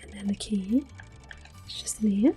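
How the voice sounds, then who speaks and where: Whispering woman doing soft ASMR game commentary. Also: mid-range voice. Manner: gentle, hushed, unhurried. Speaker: gameplay video host. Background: game music.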